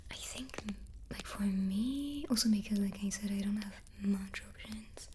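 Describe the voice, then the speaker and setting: A woman's voice: medium pitch, measured pace, a little hiss or hum. A woman hosting a whispered ASMR chat, answering questions while snacking on cookies close to the microphone. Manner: soft unvoiced whisper throughout. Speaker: ASMR host.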